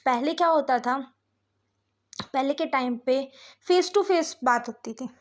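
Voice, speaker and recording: A woman with an Indian accent and a high-pitched voice delivers a lesson to students. She explains point by point as a teacher does, moving between Hindi and English. She often stops briefly to check that her listeners are following. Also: unhurried.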